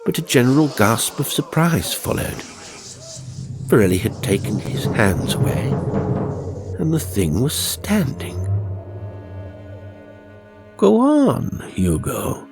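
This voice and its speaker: A man reading a horror audiobook as narrator, with deliberate, suspenseful, dramatic storytelling. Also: medium pitch, very animated. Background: music and sound effects.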